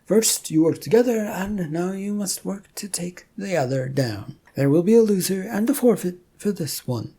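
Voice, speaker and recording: Someone doing an impish trickster god for an audio story, raised pitch, breezy n taunting, kinda husky. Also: animated, normal speed, few pauses, warm tone. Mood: announcing. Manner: breezy, taunting game-master explaining rules. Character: Zipzog, an impish, teasing god running games.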